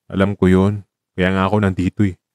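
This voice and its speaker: Guy acting tired guarded boyfriend in radio drama, lowish soft dark voice, brushes things off. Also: monotone, fairly quick, smooth, no room sound, clean studio sound. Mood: reassuring. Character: guarded, weary young man in love, Luke. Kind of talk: Tagalog romantic radio drama dialogue.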